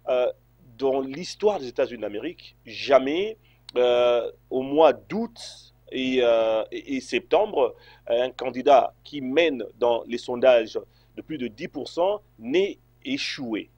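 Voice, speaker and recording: A man's voice: medium pitch, lively intonation, takes it slowly, a little mellow, dry recording, muffled recording. A man from Congo answering interview questions, hesitant, with many fillers and restarts. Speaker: interview guest.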